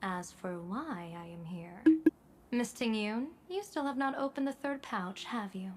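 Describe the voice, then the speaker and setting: A woman's voice: medium pitch, measured pace, natural voice. A woman voicing a serene, wily female general and seer in a video game, poised and playfully formal. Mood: teasing. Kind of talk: dramatic video game voice acting. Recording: music behind the voice.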